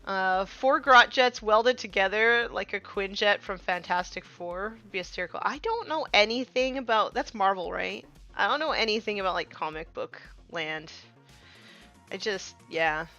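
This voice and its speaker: A woman's voice: medium pitch, normal speed, sharp tone, highs cut off, faint music behind. A woman in her thirties chatting casually while painting miniatures on a live stream, with many fillers, sometimes trailing off to a murmur.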